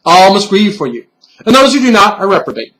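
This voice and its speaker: A man's voice: sits high, big pitch swings, fairly quick, fairly bright tone, pitched above the performer's own voice, low-quality recording. Man voicing a patronizing, solemn bunny pin mentor. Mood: stern lecturing. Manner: formal, lecturing, condescending.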